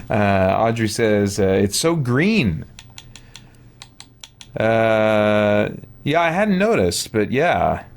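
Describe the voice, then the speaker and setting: A man's voice: lowish voice, big pitch swings, very slow, gravelly, long pauses. Man streaming games over noisy background. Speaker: game streamer, host. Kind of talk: casual livestream gameplay chat.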